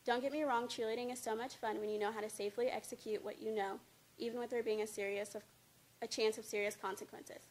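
A woman's voice: fairly high pitch, steady tone, fast talker. A young adult woman, a student speaker, reading a scripted informative speech from notes at a lectern microphone. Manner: reads from notes, stumbling over words. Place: indoor studio with green screen. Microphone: handheld-type mic on a stand at a lectern. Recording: clear background noise, room ambience.

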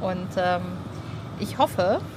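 A woman's voice: fairly low pitch, animated, very slow, noisy background. A woman in her forties hosting a podcast in a chatty, enthusiastic, casual way. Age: 40s. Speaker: podcast host and interviewer. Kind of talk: casual chat. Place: outdoor roof terrace.

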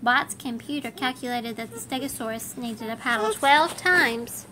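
A woman reading a children's picture book aloud in an animated, encouraging way. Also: fairly high pitch, normal speed. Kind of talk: read-aloud children's storybook narration. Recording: faint background noise.

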